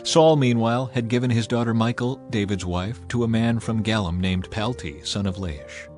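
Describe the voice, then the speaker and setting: A man narrating a dramatized scripture reading in a measured, solemn way. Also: fairly low pitch, a little mellow, faint music behind.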